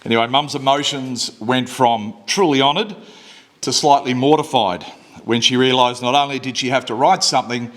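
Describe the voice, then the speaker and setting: A man's voice: mid-range voice, moderate pace, a bit bright. A man giving a funeral speech in church, plain-spoken and lightly wry, at a lectern microphone. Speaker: eulogy speaker. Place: church service.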